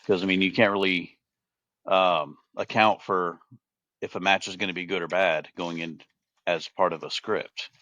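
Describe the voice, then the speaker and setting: A man's voice: sits low, fairly even intonation, normal speed, bright, forceful tone, highs cut off. A man co-hosting a casual podcast, laid-back, mostly giving short agreeing replies. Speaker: podcast co-host. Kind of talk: casual chat.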